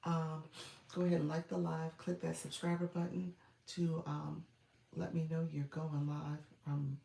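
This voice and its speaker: A woman with a cold speaks in a low, gravelly, froggy voice, congested and clearing her throat now and then. She chats casually with live viewers as a streaming coach, repeating her welcomes and filling pauses. Her voice carries a roomy echo. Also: level tone, dark tone. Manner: chatty, repetitive welcomes with frequent fillers and throat-clearing.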